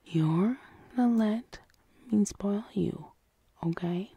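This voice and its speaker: A woman playing an affectionate, protective, gently bossy girlfriend in an ASMR roleplay, speaking tenderly and coaxingly. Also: mid-range voice, slow, creaky, husky, no echo, highs cut off, heavily acted.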